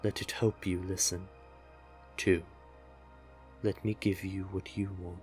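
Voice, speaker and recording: Slow, low-voiced doting sea witch played by a man. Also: flat delivery, soft background music. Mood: hypnotic, coaxing. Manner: coaxing, unhurried, tender with pet names. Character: doting, possessive, manipulative male sea witch. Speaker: audio roleplay performer. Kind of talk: intimate scripted roleplay addressed to the listener.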